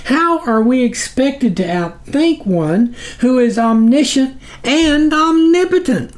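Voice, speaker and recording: A man's voice: sits high, voice pushed up, exaggerated voice. A man voicing a grovelling demon underling in an audiobook story, a wordy, pedantic academic who speaks with obsequious formality.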